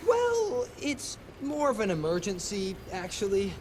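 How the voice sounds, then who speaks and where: A woman's voice: medium pitch, huge pitch swings, unhurried, background noise. A woman voicing an anxious, sheepish lab underling in a video game, hesitant and apologetic. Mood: nervous. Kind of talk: video game cutscene acting. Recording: loud music under the voice.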